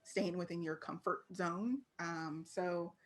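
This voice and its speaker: Low-pitched American woman, candid and informal. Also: steady tone, takes it slowly. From Fort Worth, Texas, United States. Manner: candid, informal, frequently laughing. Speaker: interview guest, creative professional. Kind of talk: casual interview conversation.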